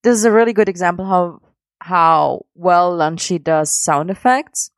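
A woman's voice: fairly low pitch, unhurried, no room sound, clean studio sound. A woman giving casual spoken comments as a podcast contributor.

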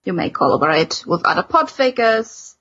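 A woman co-hosting a fan podcast, giving announcements in a measured conversational way. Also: fairly low pitch, very animated, fairly bright tone, slightly rough, muffled recording. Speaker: podcast co-host.

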